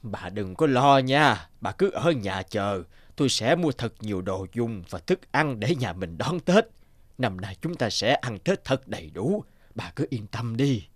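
A man's voice: mid-range voice, big pitch swings, unhurried, no echo. A man playing a cheerful, stubborn old village husband near seventy in a cartoon folktale, with a hearty, reassuring manner. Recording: loud guitar music underneath.

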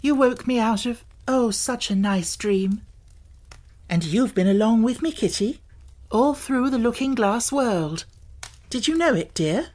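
A woman's voice: fairly high pitch, animated, unhurried, darkish tone, voice pushed up. A woman voicing a spirited, bossy yet affectionate young girl in a storybook audiobook, with emphatic, scolding and coaxing delivery. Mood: fond scolding.

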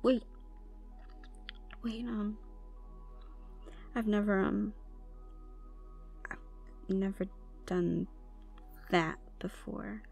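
A young woman playing a troubled tomboy goth college girl, shy and softly teasing, in an intimate ASMR roleplay with hesitant delivery and whispered asides. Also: mid-range voice, extremely slow, vocal fry, long pauses, faint music behind. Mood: shy, tender.

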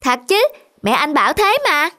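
A woman's voice: high-pitched, big pitch swings, moderate pace, sharp tone, exaggerated voice. A woman voicing a friendly, eager older boy who encourages younger children in a cartoon. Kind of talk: cartoon acting.

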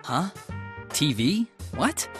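A man's voice: a little high, sing-song, very slow, exaggerated voice. A man playing a hapless, casual young police detective in a video game, hesitant and easily flustered. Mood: puzzled. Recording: music under the dialogue.

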